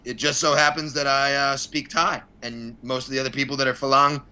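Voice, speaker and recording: Guy on a podcast as the guest, punchy bright voice, rambly casual chat, blunt opinions, bit sweary. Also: medium pitch, flat delivery, a little fast, clean tone, low-quality recording. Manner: blunt, opinionated, mildly profane. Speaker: podcast guest, fight promoter.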